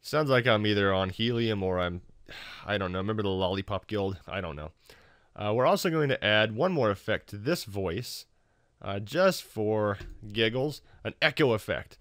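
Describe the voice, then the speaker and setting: A man hosting a casual software tutorial in an informal, wry, self-deprecating way. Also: fairly low pitch, animated, moderate pace, dry recording, studio quality. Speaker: tutorial host.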